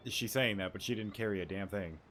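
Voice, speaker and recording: A man's voice: on the low side, fairly quick, fairly bright tone, slight background noise. A man giving casual let's play commentary, thinking aloud in fragments as he plays. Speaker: gaming streamer.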